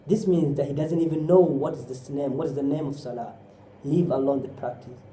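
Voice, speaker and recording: Male preacher, deep mellow tone, pressing questions, roomy echo. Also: brisk, a little hiss or hum. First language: Somali. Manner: exhorting with repeated rhetorical questions; often drops in Arabic phrases. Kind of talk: sermon.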